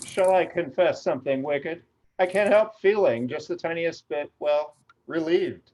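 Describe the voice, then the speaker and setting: A man's voice: a little high, unhurried, darkish tone, natural voice. A man playing a genteel, gossipy reverend on a symphony board, effusive and confiding, in a table read over a video call. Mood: confiding, mischievous. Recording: dull, lo-fi video call audio.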